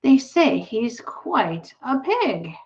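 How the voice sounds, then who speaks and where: A woman reading the part of a calm, thoughtful old country doctor in a storybook. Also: a little high, very slow, highs cut off, pitched above the performer's own voice, exaggerated voice. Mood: musing.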